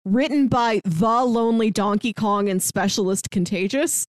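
A woman co-hosting a casual podcast, talking quickly with frequent laughter. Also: mid-range voice, no echo, studio quality. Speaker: podcast co-host.

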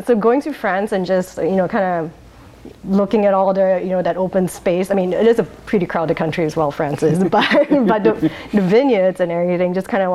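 A woman from Singapore, talking through her life in a rambling oral history interview, laughing often and pausing on um's. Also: fairly low pitch, warm tone. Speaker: interviewee, wine bar owner.

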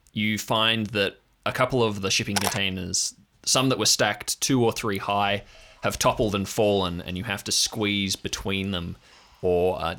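A man narrating an improvised audio drama as game master, in a halting, measured, descriptive way. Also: fairly low pitch, sharp tone.

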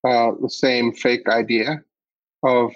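A man's voice: mid-range voice, level tone, slow pace, no room sound, highs cut off. A man from Iceland answering interview questions as a guest, picking his words hesitantly and thoughtfully. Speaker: podcast guest, agency CEO.

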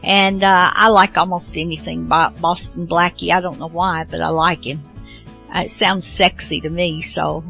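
A woman hosting a radio show in a casual, unscripted way, halting and rambling as she goes. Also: lowish voice, flat delivery, vocal fry, music in the background. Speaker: host of an old-time radio show.

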